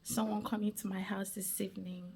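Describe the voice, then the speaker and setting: A woman's voice: medium pitch, flat delivery, a little fast, vocal fry, slight background noise. A young woman with a Nigerian accent, talking casually and laughing often as she vlogs her evening at home. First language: Igbo. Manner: chatty, laughs often, soft-spoken. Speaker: vlogger. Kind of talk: casual vlog narration.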